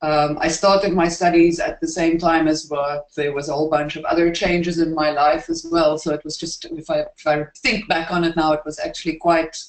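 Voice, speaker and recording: South African student panelist, candid, slightly hesitant, mid-pitch voice, roomy echo. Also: flat delivery, moderate pace, hardly any pauses. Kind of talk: casual panel chat.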